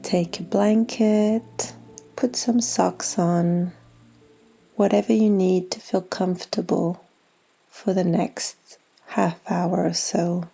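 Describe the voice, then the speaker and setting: A woman guides a meditation with slow, soothing instructions. Her voice is mellow and relaxed, sitting at a medium pitch with heavy vocal fry. She speaks softly and leaves generous pauses between short phrases. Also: soft background music. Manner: soothing, gentle, unhurried instruction with long pauses. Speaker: yoga nidra guide.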